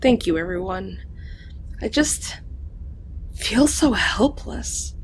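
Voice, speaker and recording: A woman playing a gentle, downhearted female alien dog creature in an audio drama, speaking earnestly. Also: mid-range voice, expressive, slow pace, slight background noise. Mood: downcast, concerned.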